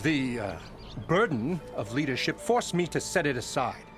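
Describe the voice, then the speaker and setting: An actor playing a pompous, self-important labour boss in a fantasy video game, bombastic, theatrical and mock-courteous in manner. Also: male voice, mid-range voice, very animated, moderate pace, music in the background, lightly acted. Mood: indignant, boastful. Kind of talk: video game character acting.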